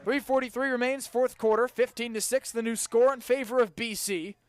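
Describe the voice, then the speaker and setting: A young man calling a college game as a radio play-by-play announcer, with brisk, precise calls that lift sharply on scoring plays. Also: very high voice, fairly even intonation, soft background music.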